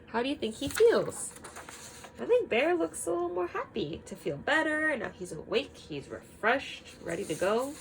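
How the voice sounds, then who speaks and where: Slow, animated woman reading to children. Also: a little high, creaky, a little hiss or hum, rough recording. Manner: expressive, child-directed, emphatic, asks simple questions. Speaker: teacher reading a picture book aloud. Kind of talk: children's read-aloud with guiding questions.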